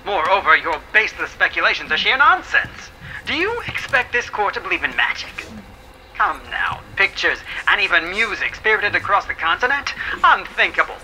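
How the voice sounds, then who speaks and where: A man's voice: sits high, sharp tone, a little hiss or hum, exaggerated voice, low-quality recording. A man playing a stern, scornful government attorney in a courtroom audio drama, cross-examining in a pompous, clipped, theatrical manner.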